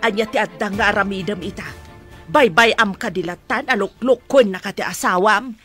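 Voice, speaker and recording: A woman's voice: medium pitch, moderate pace, over music, close to an everyday voice. A woman playing a concerned, insistent mother in a radio drama, speaking with an Ilocano accent. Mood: indignant. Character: concerned, insistent mother advising her married daughter.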